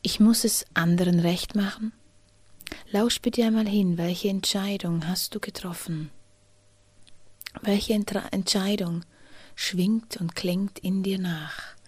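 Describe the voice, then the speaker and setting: A woman guides a slow meditation, half her words breathed out as a soft whisper. Her voice sits a little low and sounds husky, captured dry and close with no room around it. She lets long pauses fall between short, gentle phrases. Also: no echo. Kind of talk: guided inner-child meditation.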